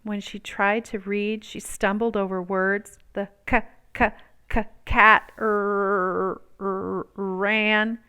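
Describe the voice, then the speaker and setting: Clear, smooth-voiced woman reading a story aloud. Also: medium pitch, flat delivery, takes it slowly, pushed and bright. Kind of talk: expressive read-aloud narration.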